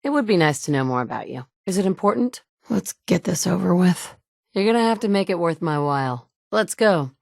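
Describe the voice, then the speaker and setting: A woman's voice: fairly low pitch, lively intonation, brisk, creaky, a little mellow, no echo. An actress in her thirties voicing a blunt, sardonic woman survivor searching for her son in a video game. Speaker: player character. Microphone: close studio mic.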